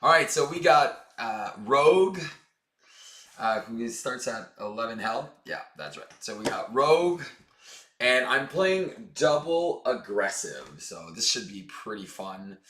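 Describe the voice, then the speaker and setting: A hoarse man chatting casually as he plays through a card game for his channel, upbeat and full of fillers. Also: mid-range voice, lively intonation, unhurried, bright, forceful tone, reverberant. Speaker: board game channel host. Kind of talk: casual tabletop game playthrough.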